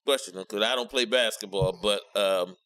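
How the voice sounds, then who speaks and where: A man's voice: lowish voice, moderate pace, pushed and bright, clear, resonant tone. A man hosting a casual comedy podcast, teasing and profane, often jumping in on the talk. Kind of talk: casual bantering podcast chat.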